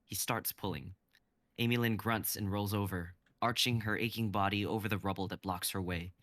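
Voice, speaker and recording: A man in his twenties narrating a dramatic script reading in a steady, descriptive way. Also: fairly low pitch, normal speed, no room sound. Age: 20s. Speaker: narrator and reader.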